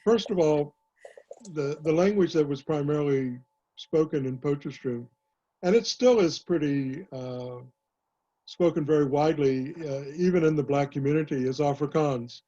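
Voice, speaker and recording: Older man historian, dark muffled voice. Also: a little high. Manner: measured, many "uh" pauses and restarts. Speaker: author and historian, guest speaker. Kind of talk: online panel talk answering questions.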